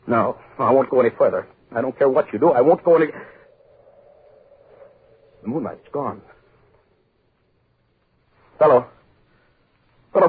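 A very husky-voiced, pompous rich man in a 1940s radio horror play. He blusters and demands, irritable and easily frightened, repeating himself in agitation. The old recording is muffled and lo-fi. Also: mid-range voice. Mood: panicked.

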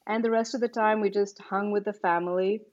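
Female podcast guest raised in Kuwait, mid pitch, measured storyteller, slightly dull recording. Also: steady tone, a little mellow. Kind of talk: casual podcast interview.

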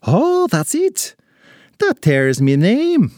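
A man's voice: sits high, huge pitch swings, takes it slowly, a little mellow, no room sound, clean studio sound, pitched above the performer's own voice, exaggerated voice. A man voicing a little forgetful, feisty leprechaun with an Irish accent in a storybook tale. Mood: excited. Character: little red-bearded male leprechaun, forgetful, feisty and chatty.

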